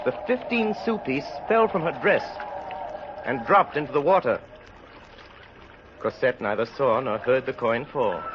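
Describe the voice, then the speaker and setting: A man's voice: on the high side, natural voice. A man narrating an old radio drama as a grave, suspenseful storyteller, intense and theatrical. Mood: tense. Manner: intense, theatrical, deliberate phrasing. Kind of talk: dramatic scripted radio narration, 1930s-40s. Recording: dull, noisy old radio recording.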